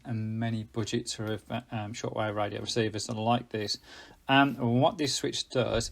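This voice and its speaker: A man's voice: medium pitch, gravelly. A man presenting a casual hands-on gadget review in a rambling way, often correcting himself and getting slightly tongue-tied.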